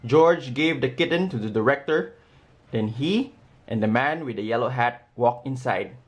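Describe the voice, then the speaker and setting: A man's voice: medium pitch, animated, normal speed. Man reading a children's picture book aloud. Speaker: storybook reader.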